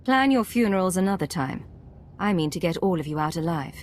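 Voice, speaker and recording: An actress playing a composed, resolute secret agent commander in a video game, speaking in a cool, commanding manner. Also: female voice, lowish voice, animated, fairly quick, slight background noise, lightly acted.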